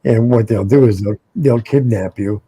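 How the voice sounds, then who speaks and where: A man's voice: lowish voice, moderate pace, mellow, husky, dry recording. A middle-aged American man, co-hosting a casual panel chat, rambling and repeating his words. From United States. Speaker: co-host.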